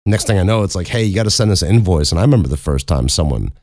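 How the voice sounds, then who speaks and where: Man with a very low, dry voice. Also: lively intonation, very fast, a little mellow, no room sound. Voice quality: very low speaking voice. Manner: rambling, self-mocking, swears casually. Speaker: podcast host and voice actor. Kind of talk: casual Q and A chat.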